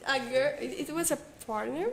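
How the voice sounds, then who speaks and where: A woman with a Mexican accent and Spanish as her first language chats haltingly, often stopping to search for words. Her voice is a touch high with a gravelly creak. The room is echoey, with a faint hiss of background noise. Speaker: guest, former call center agent. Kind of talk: casual conversation practice.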